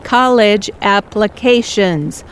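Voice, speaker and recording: A woman playing a slow, firm mom. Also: medium pitch, smooth, natural voice. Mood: insistent. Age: middle-aged. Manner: measured, insistent. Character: firm, pushy middle-aged mom, a doctor. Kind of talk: audio drama acting.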